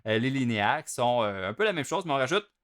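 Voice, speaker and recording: Man, Québécois accent, young adult, casual drum teacher, mid-pitched voice, relaxed and chatty. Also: fast. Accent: Québécois French. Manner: chatty and relaxed, chants note rhythms aloud with strong loud-soft contrasts. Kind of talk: casual instructional explanation.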